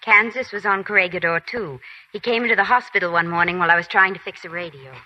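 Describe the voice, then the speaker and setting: A plucky young army nurse who narrates in a brisk, wry manner. Her voice is very bright and crisp, with music faintly behind her. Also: on the low side, steady tone, dull audio. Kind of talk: 1940s radio acting.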